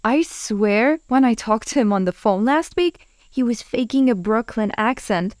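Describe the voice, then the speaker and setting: A woman's voice: a little high, lively intonation, measured pace, a little mellow, dry recording, studio quality. A young woman acting in a comic dub as a chatty, caring friend, with natural conversational delivery. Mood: upbeat. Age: young adult.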